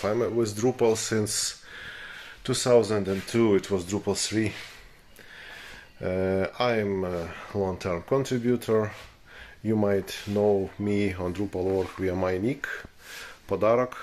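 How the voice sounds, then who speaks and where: Deep voiced guy talking slow on his phone, faint noise. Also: steady tone, darkish tone. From Ukraine. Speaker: open-source contributor. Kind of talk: casual video statement. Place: indoor room. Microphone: phone at arm's length.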